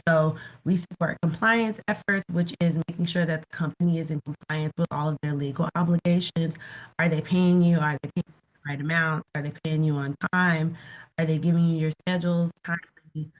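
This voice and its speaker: Low-voiced woman with a lingering cold. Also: rough recording. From Los Angeles, California. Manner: casual, explanatory, many "like" fillers. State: getting over a cold, occasional cough. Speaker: guest speaker. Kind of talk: webinar presentation.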